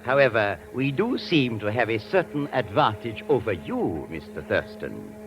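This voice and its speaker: An actor playing a suave, smug aristocratic baron in an old radio drama, formal and superior in manner. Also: male voice, medium pitch, big pitch swings, normal speed. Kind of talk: 1940s radio drama acting. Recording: old radio recording with music.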